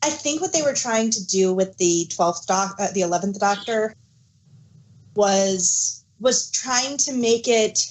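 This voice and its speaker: A woman's voice: mid-range voice, a little slow, poor audio. Woman casually explaining, hesitant and self-correcting. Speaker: podcast co-host. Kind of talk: casual fan discussion.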